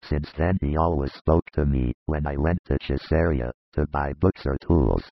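A man voicing a gruff, wary older male villager in an audiobook, with a very deep, gravelly, husky voice and a slow, grudging way of talking. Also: no echo, full character voice. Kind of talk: audiobook character voice. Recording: dull lo-fi dry recording.

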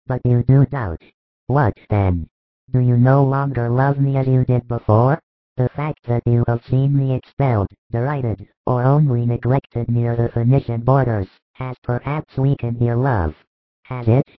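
Man voicing a gentle, sorrowful teacher. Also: mid-range voice, fairly even intonation, a little slow, no room sound, lightly acted. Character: gentle grieving male teacher, patient and tender. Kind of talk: audiobook dialogue reading. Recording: dull lo-fi dry recording.

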